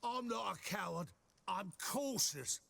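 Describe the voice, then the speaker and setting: A boozy, blustering fire eater, high and bright with a gravelly edge. He drawls slowly and swings his pitch all over as he defends himself. It is game dialogue. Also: expressive, heavily acted. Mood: indignant. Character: drunken cowardly male fire eater performer.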